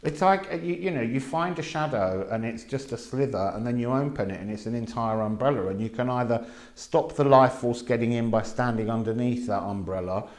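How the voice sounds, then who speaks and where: A man giving a casual spoken card reading, musing slowly with many pauses. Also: mid-range voice. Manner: slow, musing, drawn-out delivery with many pauses. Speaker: tarot and astrology reader. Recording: echoey room with faint noise.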